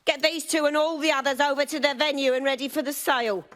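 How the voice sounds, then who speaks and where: An actress playing a ruthless female London crime boss in a video game, with a husky voice and a mock-tender, condescending manner. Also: high voice, steady tone, fast, fairly bright tone. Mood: commanding, menacing. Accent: English, London. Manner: mock-tender, calls people love and sweetheart. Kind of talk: dramatic video game acting.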